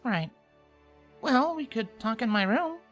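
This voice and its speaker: A young unicorn princess acted by a man speaks in a high, swooping voice over music. She is a nervous, earnest little sister, soft and hesitant, in a fantasy storybook reading. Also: expressive, slow, exaggerated voice. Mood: shy, affectionate. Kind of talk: audiobook character voice in fan fiction. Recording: music playing behind the voice.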